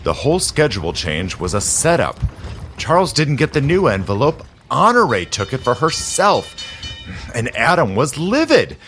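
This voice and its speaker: A man's voice: mid-range voice, very animated, normal speed, fairly bright tone, slight background noise. A man acting in an audio drama as a cynical, superior society conspirator with a biting, theatrical delivery. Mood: bitter recounting. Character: scheming, cynical male society conspirator, world-weary and superior.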